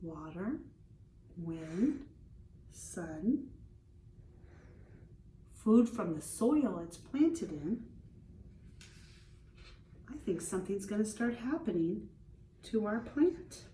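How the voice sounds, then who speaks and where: A woman schoolteacher reading a children's storybook aloud, explaining simply and pausing to put questions to young listeners. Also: medium pitch, big pitch swings, mellow. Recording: roomy echo, distant microphone.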